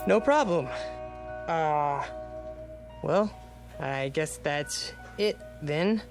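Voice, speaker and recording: A man's voice: a little high, expressive, drawn-out words, full character voice. A man playing an anxious, superstitious young husband in a radio drama, hesitant, evasive and easily put on the defensive. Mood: hesitant, reluctant. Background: music.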